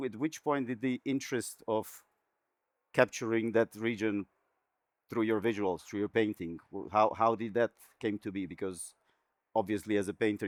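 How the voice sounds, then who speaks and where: A man from Croatia, hosting an art talk as curator and asking questions in a relaxed, thoughtful way. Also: fairly low pitch, dry recording. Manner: relaxed, thoughtful, many backchannels. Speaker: curator and interviewer.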